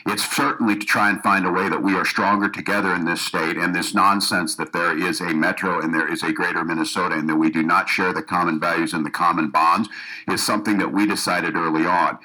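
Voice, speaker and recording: A man's voice: low voice, fairly even intonation, fairly quick, sharp tone, rough recording. A man giving an earnest campaign speech to a room of educators, often restarting his sentences. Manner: earnest, repeats himself with restarts. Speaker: political candidate. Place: meeting room with audience.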